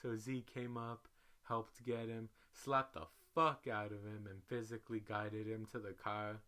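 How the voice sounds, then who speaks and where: A man reading a social media story aloud in an animated, slangy, profane way, as a dramatic storyteller. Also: on the low side, a little slow. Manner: animated, profane, slangy, acts out each quote. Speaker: reader, storyteller.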